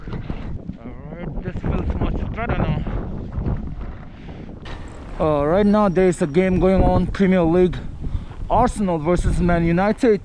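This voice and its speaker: A man's voice: sits high, slow pace, pauses often. A young man from Nepal, chatting to viewers as he walks through a snowstorm for his vlog. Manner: chatty, friendly, talks straight to the viewers. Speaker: vlogger. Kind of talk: casual walking vlog. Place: outdoors on city streets in a snowstorm. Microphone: handheld camera. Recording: heavy wind and street noise.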